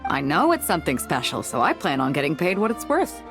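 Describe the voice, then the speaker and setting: A woman's voice: deep voice, huge pitch swings, brisk, over music, full character voice. An actress playing a breezy, confident seafaring woman selling weapons in a video game, frank and easygoing. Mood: firm, guarded. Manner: easygoing, frank, self-assured.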